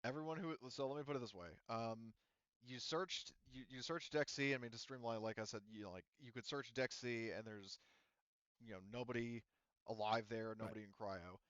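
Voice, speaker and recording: A man's voice: medium pitch, fairly even intonation, normal speed, a bit bright, clear, resonant tone, dry recording, muffled recording. A man working as game master, casually narrating a tabletop roleplaying game.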